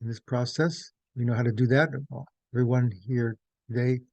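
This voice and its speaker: A man leading a guided meditation as instructor, speaking in measured, gentle phrases with pauses. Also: medium pitch, fairly even intonation, no echo.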